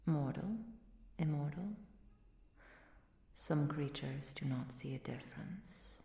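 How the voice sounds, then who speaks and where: Woman as a low, slow, whispery vampire countess. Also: roomy sound, full character voice. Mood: grave, thoughtful. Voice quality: soft, breathy, often dropping to a whisper. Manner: measured, formal, archaic diction. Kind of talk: ASMR roleplay acting.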